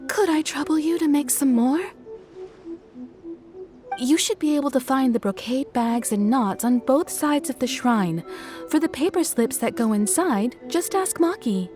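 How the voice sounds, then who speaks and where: High-voiced, earnest shrine attendant. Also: female voice, mellow. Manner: polite, eager to help. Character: earnest, courteous young female shrine attendant. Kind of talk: video game voice acting.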